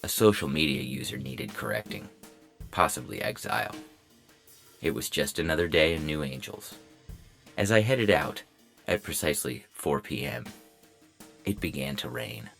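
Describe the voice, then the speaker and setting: Deep gravelly robot lawman voiced by a man. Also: moderate pace, long pauses, heavily acted. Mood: politely detached. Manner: deadpan, clipped, matter-of-fact. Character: robot lawman, polite, officious, coolly controlling. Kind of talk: first-person science fiction audio story. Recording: music bed under the voice.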